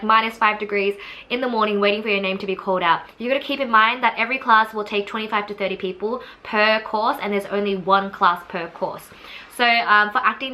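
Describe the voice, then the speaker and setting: A woman's voice: medium pitch, bright, forceful tone. A young woman, casually telling the story of her audition to camera in a chatty, candid way, with many fillers. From Australia.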